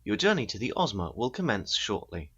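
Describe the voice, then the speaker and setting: Woman acting as a low, husky automated pod announcer. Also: very animated, brisk, fairly bright tone, dull audio, exaggerated voice. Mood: polite welcome. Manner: scripted, repetitive, formally polite. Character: chirpy, rigid automated announcer of an alien space pod. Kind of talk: automated announcement in audio drama.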